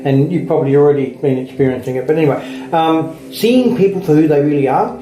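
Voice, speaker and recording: Quick-talking woman psychic in an echoey room. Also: sits low, mellow, a little hiss or hum. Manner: explanatory, repetitive, seeks agreement. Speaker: psychic card reader. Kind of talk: casual one-to-one card reading. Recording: roomy echo with music behind.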